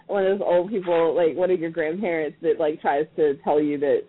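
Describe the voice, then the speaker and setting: A sarcastic man from Maryland talks as a guest on a call-in show, animated and quick to laugh. His voice has a husky edge. It sounds dry and tinny, like a phone line. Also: fairly high pitch, no room sound. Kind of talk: casual call-in talk show chat.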